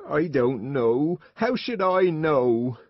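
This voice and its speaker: A volatile, bitter woman as acted by a male narrator, pitched high and strained to shrillness. She sounds distraught and husky, in a close, dry storybook recording. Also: big pitch swings, slow pace, dry recording, dull audio, heavily acted. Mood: bitter, weary. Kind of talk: audiobook character voice.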